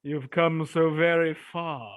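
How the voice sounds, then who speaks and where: A man's voice: fairly high pitch, steady tone, takes it slowly, no room sound, narrow, tinny audio. A man playing a pompous, cruel parish sergeant in a theatrical, florid way in a dystopian Victorian stage play reading. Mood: condescending.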